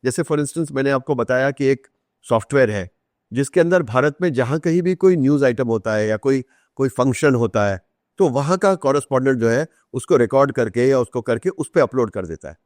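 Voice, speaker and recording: Male podcast guest, Indian accent, mid-pitched, articulate explainer who repeats for emphasis. Dry recording. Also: expressive, brisk, darkish tone, no echo. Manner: articulate, emphatic repetition, Hindi mixed with English terms. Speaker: author guest. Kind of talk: podcast interview, explanatory talk.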